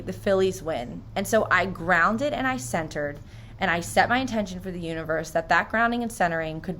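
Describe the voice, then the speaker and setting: A woman's voice: medium pitch, fairly bright tone, slight background noise. A woman from New Jersey with an American accent, sharing personal stories and spiritual reflections in an informal, earnest way. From New Jersey, United States. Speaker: spiritual vlogger.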